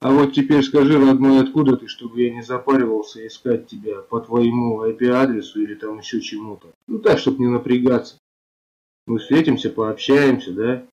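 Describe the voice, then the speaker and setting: A man, a game player, calmly explaining himself and then taunting and insulting another player in voice chat. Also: mid-range voice, moderate pace, lo-fi sound. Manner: calm explaining, then mocking and abusive. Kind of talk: voice chat argument.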